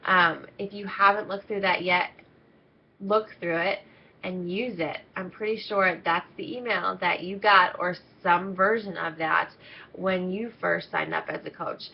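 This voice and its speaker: A woman's voice: fairly low pitch, steady tone, unhurried, gravelly, slightly rough. A woman coaching her team in a chatty, upbeat training talk, nasal and stuffed up with a cold. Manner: upbeat, chatty, many ums. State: congested, coming down with a cold. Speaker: team coach leading an internship. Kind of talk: casual video training talk. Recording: slightly dull lo-fi audio.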